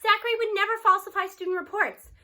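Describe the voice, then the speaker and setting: Woman acting an indignant school principal, high and emphatic. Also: steady tone, fast talker, bright, forceful tone, full character voice. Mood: stout, emphatic. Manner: emphatic, stout, insistent. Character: earnest, indignant woman school principal, fiercely loyal to her teacher. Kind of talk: storybook character voice.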